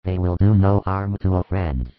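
A man voicing a meek, quiet male apostle who speaks mildly and plainly. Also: low-pitched, normal speed, no room sound, phone-quality sound, voice pushed down, natural voice. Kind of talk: audiobook reading.

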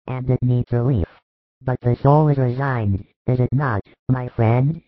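A man voicing a gentle religious teacher who consoles a dying friend tenderly. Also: mid-range voice, measured pace, dry recording, dull audio, lightly acted. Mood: tender reassuring. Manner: tender, reassuring.

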